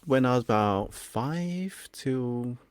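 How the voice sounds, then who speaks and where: A man's voice: medium pitch, lively intonation, slow pace. A young man from England whose first language is Cantonese, a confidence coach speaking reflectively as a podcast guest, sometimes searching for words. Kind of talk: podcast interview.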